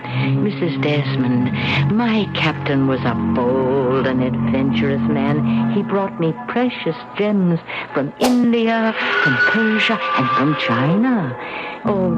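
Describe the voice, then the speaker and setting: An actress playing a mournful, insistent old ghost of a sea captain's widow in a solemn, old-fashioned radio drama style. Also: female voice, low voice, expressive, slow pace. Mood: wistful, insistent. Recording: dull old radio recording, music behind.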